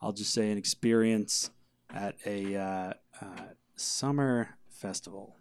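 A man's voice: on the low side, a little slow, clean tone. A man talking casually and in a rambling way as a band member in a group interview. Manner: rambling, easily sidetracked.

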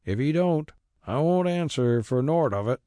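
A man's voice: a little high, animated, mellow, dry recording, clean studio sound, pitched above the performer's own voice, natural voice. A man voicing a stubborn old rustic carrier. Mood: coaxing. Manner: broad rural dialect, slow and roundabout.

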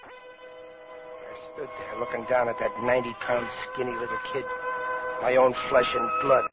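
A tough ex-con talks in slangy, hard-boiled crime-drama style. The sound is a narrow, old 1950s radio broadcast, with music playing behind him. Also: male voice, mid-range voice, sing-song, a little slow. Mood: brooding narration. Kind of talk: 1950s radio crime drama acting, first-person narration.

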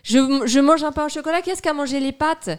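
A defensive female flatmate played by a woman in an improvised radio comedy. Her fairly high voice protests and justifies itself haltingly, with a very dry studio sound. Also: dry recording, close to an everyday voice. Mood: angry. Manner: hesitant, self-justifying, protesting.

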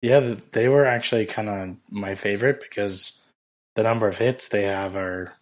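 A man co-hosting a podcast in casual chat, hoarse from losing his voice, rambling with many fillers. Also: fairly low pitch, moderate pace. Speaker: podcast co-host.

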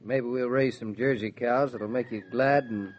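An actor playing an earnest, gentle young American husband in a 1940s radio drama. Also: male voice, mid-range voice, steady tone, moderate pace, a little hiss or hum, close to an everyday voice. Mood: earnest, reassuring. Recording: dull 1940s radio transcription.

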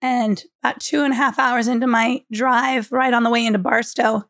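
Hoarse lady on a podcast, voice mostly gone from a cold, kinda high, dry close sound. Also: steady tone, moderate pace, a bit bright, no room sound. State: hoarse, losing her voice from a cold. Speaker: podcast co-host. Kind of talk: casual chat, storytelling.

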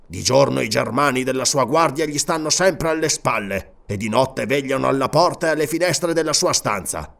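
A man's voice: medium pitch, normal speed, gravelly, hardly any pauses, a bit bright. An Italian man narrating an audiobook and voicing a proud, furious barbarian king in disguise who swears vehement, declamatory oaths. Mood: bitter.

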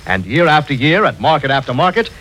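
A male announcer reading a 1940s radio advert. His voice is forceful and lively, slightly high, on a vintage recording. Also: fast talker, poor audio. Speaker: commercial announcer. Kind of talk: 1940s radio sales pitch.

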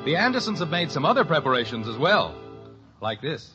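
American male storyteller-narrator, genteel 1950s radio delivery, lively pitch, music underneath. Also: brisk. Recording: muffled old radio recording.

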